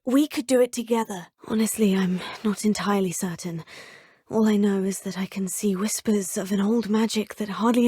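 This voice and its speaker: A woman's voice: a little high, darkish tone, slightly rough, no echo, clean studio sound. A curious schoolgirl witch. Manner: polite, inquisitive and earnest, often murmuring to herself. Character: curious teenage girl wizarding student. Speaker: player character. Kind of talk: video game voice lines. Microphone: close studio mic.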